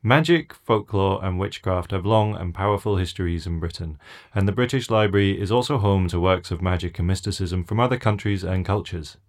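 A man's voice: low voice, a little fast. A man reading a scripted events listing as a community radio presenter.

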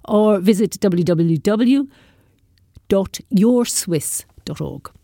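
A woman's voice: medium pitch, lively intonation, slow pace, warm tone, no room sound, studio quality. A woman presenting scripted local event announcements on community radio.

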